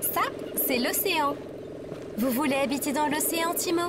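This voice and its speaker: High-pitched, sarcastic AI companion acted by a woman. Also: moderate pace, lightly acted. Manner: formal, deadpan sarcasm, fussy. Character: pedantic, sarcastic female AI companion. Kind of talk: comedic French audio fiction acting. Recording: very noisy background of ambient effects.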